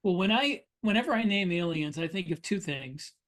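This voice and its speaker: A man's voice: high voice, animated, normal speed, a bit bright. A middle-aged man co-hosting a podcast as an author, talking casually in an anecdotal, explanatory way. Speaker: podcast co-host and science fiction author. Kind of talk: casual conversational discussion.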